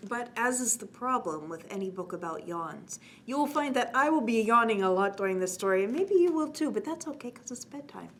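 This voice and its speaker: A woman with a slightly high voice hosts a story time for young children. She reads expressively and speaks to the listeners in a gentle, chatty way. The recording carries noticeable background noise. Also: expressive, brisk, few pauses. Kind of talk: read-aloud bedtime story with chatty asides. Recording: clearly noisy background.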